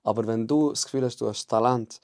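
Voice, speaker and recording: A man's voice: mid-range voice, fairly even intonation, quick pace, darkish tone, no echo, clean studio sound. A man hosting a podcast interview, talking in an encouraging, rambling way. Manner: encouraging, rambling, frequent mhm and sag ich mal. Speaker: podcast host.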